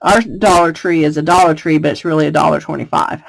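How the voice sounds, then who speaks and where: A woman from the United States with a slightly raspy voice, chatting through a card-making tutorial and laughing between steps. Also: low voice, brisk, dry recording. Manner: chatty, laughs often, thinks aloud. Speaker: craft demonstrator. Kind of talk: casual craft tutorial.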